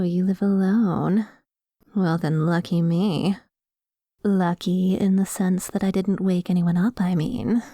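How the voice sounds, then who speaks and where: A woman playing a dominant, possessive female vampire in an ASMR roleplay, talking in a coy, deliberate and quietly menacing way. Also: lowish voice, no echo, studio quality. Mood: coy, polite.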